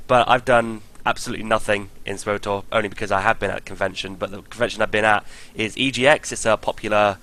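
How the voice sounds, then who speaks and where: A man from the UK, a podcast guest, chatting casually and rambling with enthusiasm in a voice slightly croaky from illness. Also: on the low side, fairly even intonation, quick pace, hardly any pauses, bright, forceful tone. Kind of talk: casual chat.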